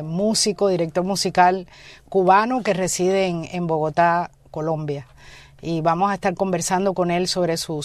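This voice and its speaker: A woman's voice: fairly low pitch, no echo. Woman radio host with music underneath. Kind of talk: radio interview introduction.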